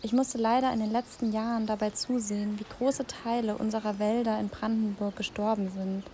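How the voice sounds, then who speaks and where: A woman's voice: mid-range voice, level tone, brisk, dark tone, a little hiss or hum, close to an everyday voice. A woman in her thirties playing someone who watched forests die, speaking in an earnest, sorrowful way. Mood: plain. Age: 30s.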